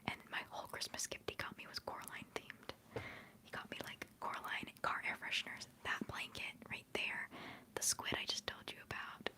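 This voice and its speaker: A young woman hosting a livestream, whispering softly as she rambles through chat messages and answers viewers fondly, holding back tears. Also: normal speed. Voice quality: soft whispering voice. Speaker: livestream host.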